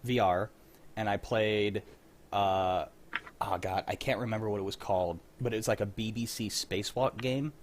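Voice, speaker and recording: A man's voice: fairly low pitch, steady tone, moderate pace. Wry man chatting over background noise. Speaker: host of a livestream. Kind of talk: casual chat.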